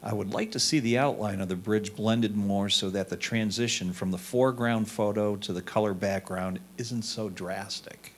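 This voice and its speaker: A man with a slightly low-pitched voice reads written critiques aloud. He serves as a reader at a photography club competition, relaying a judge's comments. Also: fairly even intonation, moderate pace, hardly any pauses, slight background noise.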